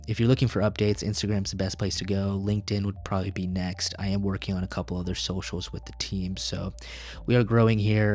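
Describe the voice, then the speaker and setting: A man's voice: sits low, a little mellow. A young man whose first language is Tamil, hosting a solo podcast in a casual, rambling way with plenty of fillers and restarts. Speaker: podcast host.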